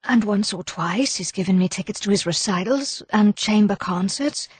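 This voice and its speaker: Lady reader doing a timid english woman, gentle, flustered, hesitant, husky, storybook character. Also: mid-range voice, normal speed, dry recording. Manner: hesitant, apologetic. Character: shy, anxious, gentle Englishwoman, easily flustered.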